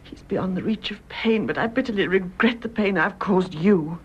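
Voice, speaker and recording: An actress playing an anguished young English widow in a radio drama, speaking in a halting, fervent way. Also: female voice, medium pitch, breathy edge, muffled recording. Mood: ashamed, frank. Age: young adult. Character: anguished young adulterous widow, honest and emotional. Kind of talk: British radio drama acting.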